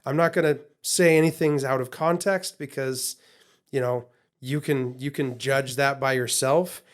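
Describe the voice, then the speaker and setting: A man's voice: medium pitch, steady tone, a little slow. A man co-hosting a podcast in casual chat, rambling and restarting his sentences with repeated words. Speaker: podcast co-host.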